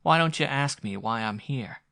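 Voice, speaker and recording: Man voicing a sneering, gravelly fugitive. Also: medium pitch, expressive, moderate pace, voice pushed up. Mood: heated. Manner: sneering, jeering, loud. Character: wounded hunted man, sneering and bitter.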